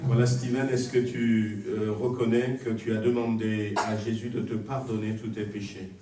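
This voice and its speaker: Flat-voiced pastor praying in a hall. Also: male voice, fairly low pitch, crowd noise. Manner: flat, measured, pausing with fillers. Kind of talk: prayer and baptism rite. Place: church. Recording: echoey church hall from far off, congregation around.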